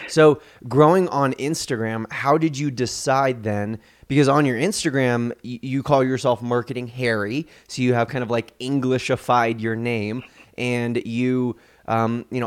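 A male podcast host with a smooth, resonant voice and middle pitch. He talks in a friendly, rambling way, full of fillers and little restarts. It sounds like a relaxed interview chat.

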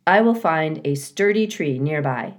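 A woman voicing an earnest, literal-minded female robot in a children's storybook, speaking in a measured, formal way. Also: sits low, lively intonation. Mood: calm. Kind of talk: children's storybook character reading.

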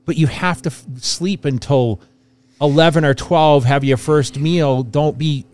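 A man's voice: on the high side, steady tone, a little slow, a little mellow. A man hosting a podcast, making his points emphatically in casual chat. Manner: emphatic, rambling explanations. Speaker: podcast host.

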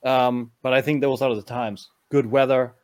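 A man's voice: mid-range voice, fairly even intonation, moderate pace, a little mellow. A German man co-hosting a podcast, chatting casually with stumbling starts. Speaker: podcast co-host. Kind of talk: casual chat.

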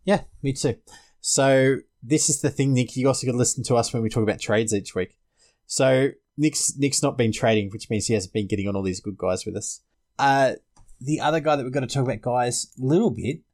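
A man's voice: mid-range voice, a little fast, darkish tone. A man hosting a casual sports podcast, chatting about fantasy picks. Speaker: podcast host.

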